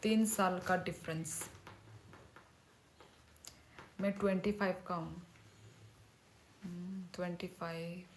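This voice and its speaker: A woman's voice: on the low side, flat delivery, unhurried, slight background noise, poor audio. A woman in her thirties, a native Kokborok speaker, chatting casually with viewers on a livestream and reading their names aloud. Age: 30s. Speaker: livestream host.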